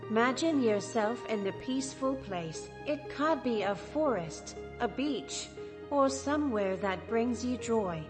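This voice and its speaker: A woman delivers scripted meditation guidance in a soothing, unhurried instructional manner. Her voice sits somewhat high and carries a long reverberant tail. Music plays clearly behind her. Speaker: guided meditation narrator. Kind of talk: scripted guided meditation narration.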